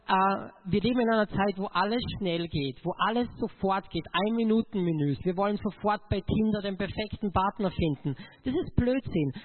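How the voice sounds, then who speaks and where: High-pitched Austrian man preaching, phone-like sound. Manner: emotional, emphatic, addresses listeners directly. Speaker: motivational speaker giving a testimony.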